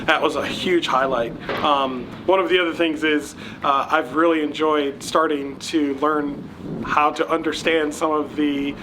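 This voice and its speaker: A man's voice: fairly high pitch, fairly even intonation, measured pace, background noise. An American man, the founder of a competition, answering interview questions in an earnest, casual way with many fillers. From United States. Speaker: match founder and president.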